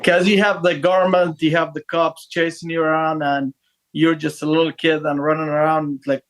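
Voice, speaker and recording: A man's voice: a little high, level tone, moderate pace, low-quality recording. A man in his thirties from Afghanistan, a podcast guest speaking in a hesitant way with many fillers and restarts. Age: 30s. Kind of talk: casual interview talk.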